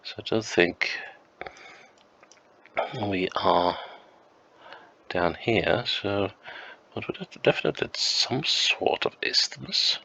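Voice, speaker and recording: A man with a flu, sounding croaky and sniffly, thinking aloud slowly and hesitantly as he plays a map game at home. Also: on the low side, expressive, bright, forceful tone, rough recording. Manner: slow, musing, hesitant with many ums. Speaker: game streamer. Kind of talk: thinking-aloud gameplay commentary.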